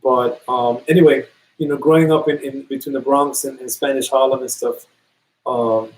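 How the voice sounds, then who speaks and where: A man's voice: medium pitch, steady tone, normal speed, low-quality recording. Man from New York, repeating himself. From New York City. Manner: repeats words, restarts phrases. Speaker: podcast co-host. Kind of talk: casual conversation.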